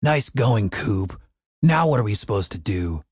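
A man's voice: lowish voice, expressive, unhurried, a little mellow, breathy edge, dry recording, clean studio sound. A man voicing an anxious, exasperated male adventurer in a fantasy audiobook, scolding and pleading in an indignant tone.

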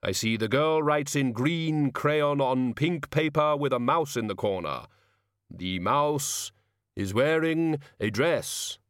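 Male narrator as solemn supernatural being, ponderous slow delivery, formal, earnest, storybook figure, dry recording. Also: mid-range voice, animated, fairly bright tone, clean tone, dry recording, pitched above the performer's own voice.

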